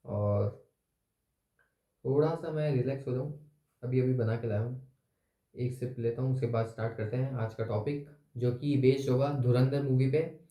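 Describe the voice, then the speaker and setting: Slightly hoarse man chatting in echoey room. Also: mid-range voice. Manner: relaxed, chatty, thinking aloud with pauses. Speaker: YouTube channel host. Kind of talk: casual chat to camera.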